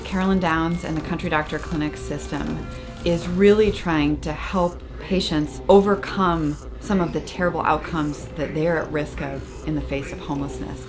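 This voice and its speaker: A woman who sees patients at a clinic, speaking calmly and clinically in a documentary interview. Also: deep voice, normal speed. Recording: music bed under voice.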